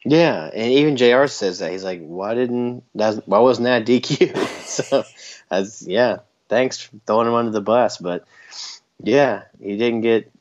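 A man's voice: mid-range voice, unhurried, dull audio. A man co-hosting a podcast in casual chat, rambling and joking with frequent laughs. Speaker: podcast co-host.

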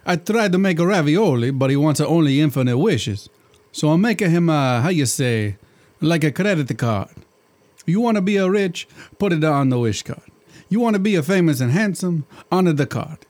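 A man's voice: a little high, darkish tone, close to an everyday voice. An actor playing a jolly, fatherly Italian genie who cooks, in a put-on Italian accent with broken English. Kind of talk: comedy audio drama.

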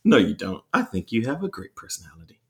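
A man chatting casually on a podcast, and his pitch swings widely as he talks. He is playful and expressive and laughs often. His voice sits in the middle of the range for a man. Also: fast talker. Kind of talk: casual chat.

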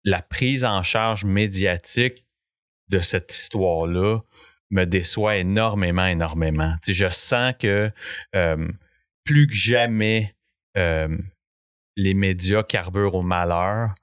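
A man's voice: low voice, slow, a bit bright, dry recording, studio quality. A man with a Québécois French accent, hosting a casual chat and often stammering as he searches for his words. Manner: hesitant, stammering restarts. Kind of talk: casual conversation.

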